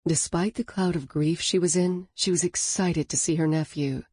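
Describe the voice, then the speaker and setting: A woman reading a novel as an audiobook narrator in a calm, even storytelling manner. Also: on the low side, moderate pace, husky, no room sound, clean studio sound. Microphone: studio microphone.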